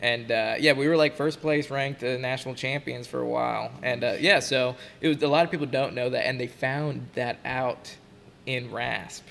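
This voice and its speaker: Young male podcast guest, gravelly and sharp-toned, laid-back slangy storyteller, echoey room with a faint hiss. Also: mid-range voice, fairly even intonation, moderate pace. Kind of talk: casual chat.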